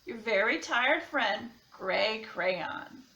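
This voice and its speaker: A woman's voice: a little high, animated, drawn-out words, gravelly, bright, forceful tone, highs cut off, pitched below the performer's own voice, exaggerated voice. A woman voicing an exhausted gray crayon in a children's picture book, pleading with emphasis. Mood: tired, pleading. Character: exhausted, big-animal-weary gray crayon. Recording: roomy, echoing sound.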